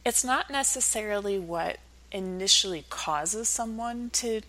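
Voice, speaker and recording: A woman's voice: medium pitch, a little slow, sharp tone, low-quality recording. Woman explaining as an expert guest. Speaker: researcher and professor, podcast guest.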